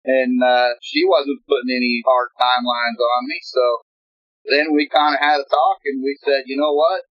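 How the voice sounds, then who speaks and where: Middle-aged man, relaxed rambling talk, dry dull lo-fi sound. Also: mid-range voice, moderate pace, no echo. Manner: relaxed, rambling with many fillers. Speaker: guest, retired military homesteader. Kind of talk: casual interview chat.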